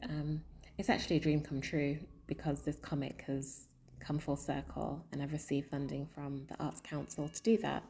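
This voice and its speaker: Earnest woman, low-pitched, artist statement, hesitant pauses. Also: dark tone. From South London. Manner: thoughtful and earnest, pausing often with fillers. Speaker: comics artist. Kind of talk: personal artist statement to camera.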